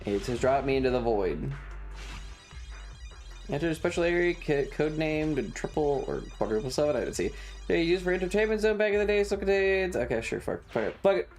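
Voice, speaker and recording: A streamer with a deadpan, sarcastic manner, giving casual gaming commentary and swearing, close to the microphone. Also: medium pitch, fairly quick. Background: loud game music.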